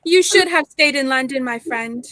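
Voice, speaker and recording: A woman's voice: sits high, moderate pace, fairly bright tone, dry recording. A young woman playing a suave, menacing professor and secret spy in a 1930s radio play, genteel and theatrical. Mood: silkily threatening.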